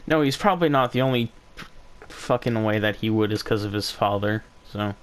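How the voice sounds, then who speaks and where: A man's voice: on the low side, moderate pace, noisy background. A man doing casual playthrough commentary as a streamer, blunt and exasperated. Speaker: gaming streamer reacting to story.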